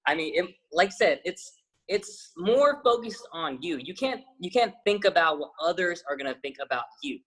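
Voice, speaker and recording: A man's voice: sits high, lively intonation, normal speed, poor audio. A young man, a fitness trainer, chatting casually as an interview guest, rambling with lots of fillers and restarts. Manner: says he has a loud voice.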